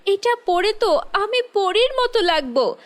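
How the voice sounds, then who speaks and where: A very high, girlish cartoon voice put on by a woman. She is a spoiled rich schoolgirl who boasts and demands. Her manner is jealous and scornful. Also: animated, slow, exaggerated voice. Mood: gloating. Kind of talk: children's cartoon storytelling.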